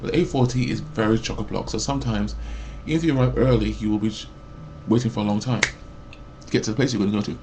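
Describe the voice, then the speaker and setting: A man in his forties, a mental health support worker, ranting about his job in a loud, rambling way full of fillers and restarts. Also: lowish voice, quick pace, slight background noise. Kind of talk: rambling personal rant.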